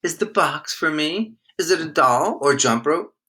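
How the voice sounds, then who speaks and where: A man voicing an eager, curious little girl in a storybook, full of excited questions. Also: fairly high pitch, measured pace, voice pushed up.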